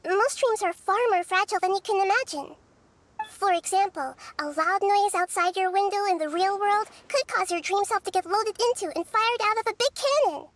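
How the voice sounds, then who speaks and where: A woman voicing a wise, composed child deity in a video game, gently curious and explaining things thoughtfully and articulately. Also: extremely high pitch, normal speed. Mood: explanatory. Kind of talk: voice-acted game story dialogue.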